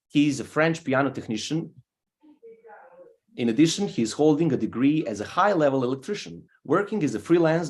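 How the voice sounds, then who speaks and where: Brief: young Serbian-accented man, guest host on a video call, friendly and easygoing, mid-pitched voice. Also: darkish tone. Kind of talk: live online show hosting.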